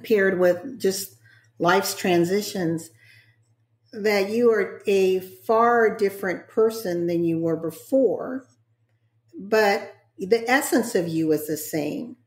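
A woman interviewing on a podcast in an affirming, deliberate way, stacking words in lists for emphasis, with an echoey sound. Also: medium pitch. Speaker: guest interviewer.

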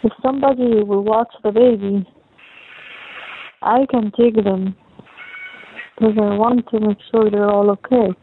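A young adult woman chatting casually on a phone call, talking in a halting, rambling, repetitive way. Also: mid-range voice, steady tone. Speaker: caller talking with partner. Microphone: telephone call. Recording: tinny phone line with faint noise.

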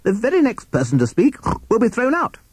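A man's voice: extremely high pitch, wildly swooping pitch, a little fast, darkish tone, breathy edge, no room sound, pitched above the performer's own voice, heavily acted. A man voicing an impatient, easily annoyed pig judge in a children's story, blustering and barking out orders.

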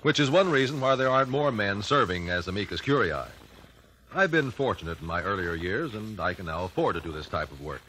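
An actor playing an earnest, composed American investigator, speaking articulately in old-time radio drama style. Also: male voice, fairly low pitch, animated, fairly quick, sharp tone. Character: earnest, measured gentleman investigator, the hero. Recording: noisy vintage radio recording.

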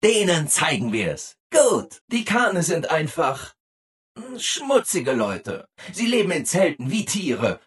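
An actor playing a relentlessly cheerful, eager-to-please robot assistant in a video game, exaggeratedly upbeat and obliging. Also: male voice, a little high, wildly swooping pitch, takes it slowly, breathy edge, heavily acted. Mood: cheerfully helpful. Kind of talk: video game character acting.

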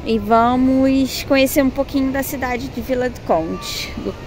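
A woman's voice: high voice, unhurried, a little mellow, rough recording. A woman in her thirties with a Brazilian Portuguese accent, chatting casually to the camera as a travel vlogger, repeating words for emphasis. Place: outdoors by a seaside town, windy. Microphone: phone camera held at arm's length. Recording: outdoor phone audio with wind and traffic noise.

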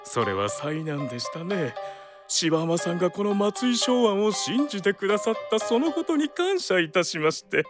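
Suave antique dealer, wildly swooping pitch. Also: male voice, big pitch swings, over music. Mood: gracious. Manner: courteous, unctuous, measured merchant speech. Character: suave, polite, slyly amused male antique dealer. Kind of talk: radio drama acting.

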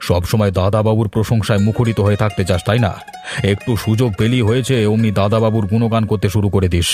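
Man acting low-voiced grumbling older household patriarch. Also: steady tone, fast, darkish tone, faint music behind, natural voice. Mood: irritated. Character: grumbling older male head of a village household. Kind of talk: cartoon voice acting.

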